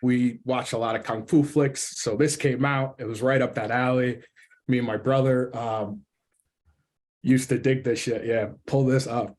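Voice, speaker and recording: A man's voice: medium pitch, fairly even intonation, a little slow, darkish tone. A man co-hosting a podcast, chatting casually in informal slang. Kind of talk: casual chat.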